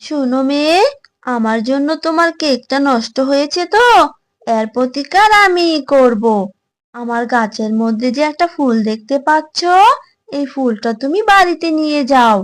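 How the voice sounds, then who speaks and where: A woman acts out a magical talking tree in a children's cartoon. The voice is high and feminine, with a kindly, gentle temper. It speaks like a benevolent helper of the poor. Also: normal speed, close to an everyday voice. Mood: kindly, reassuring. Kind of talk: cartoon voice acting.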